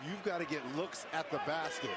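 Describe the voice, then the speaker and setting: Very loud arena noise sits behind a man with a slightly high voice. He is a basketball analyst giving broadcast commentary. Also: fairly quick. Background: loud ambient noise of a basketball game.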